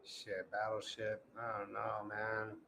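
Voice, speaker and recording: A man's voice: lowish voice, completely flat delivery, slow, creaky, background noise. A man in his forties chatting casually, loose and profane, teasing the others with jokes. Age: 40s. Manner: loose, profane, joking banter. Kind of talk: casual group chat.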